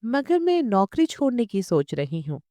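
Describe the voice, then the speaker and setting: A woman's voice: a little high, big pitch swings, normal speed, warm tone, no echo, clean studio sound. A woman voicing a weary, disillusioned female office worker in an audiobook story, earnest and brooding. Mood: weary, discontented.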